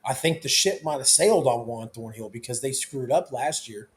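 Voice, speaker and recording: Hoarse man hosting sports talk. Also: mid-range voice, moderate pace, a little hiss or hum. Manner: loose, conversational, with many restarts. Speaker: sports talk show host.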